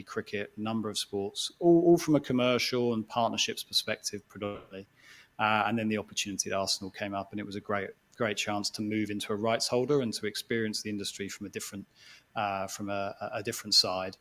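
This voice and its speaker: Low, even-toned man. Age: 40s. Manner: reflective, hesitant, restarts phrases. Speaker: guest speaker, sports executive. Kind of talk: conversational talk to a live audience.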